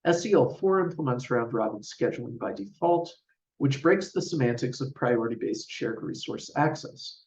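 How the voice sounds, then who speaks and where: A man giving a scripted technical conference presentation in a measured, formal, explanatory way. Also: mid-range voice, expressive, a little mellow. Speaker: researcher presenting a paper. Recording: roomy, distant mic, dull sound.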